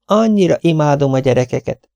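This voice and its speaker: A man doing a fluttery high-voiced spinster. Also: animated, gravelly, warm tone, dry recording. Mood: gushing. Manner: fluttery, breathless, gushing. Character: gushing fussy middle-aged spinster.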